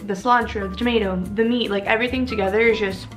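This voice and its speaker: A woman's voice: a little high, fairly even intonation, fast. Chatty, upbeat young woman vlogger over music. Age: 20s. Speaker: lifestyle vlogger. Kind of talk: casual chat to camera. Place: indoors at home, kitchen and living room. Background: background music.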